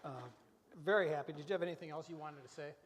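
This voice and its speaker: Host, male, 60s, fairly high voice, folksy storyteller delivery, long room reverb. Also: fairly even intonation, fast talker, slight background noise. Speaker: host of a church radio variety show. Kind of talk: live interview and scripted reflective monologue. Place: large church room, live before audience. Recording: echoey hall with long reverb.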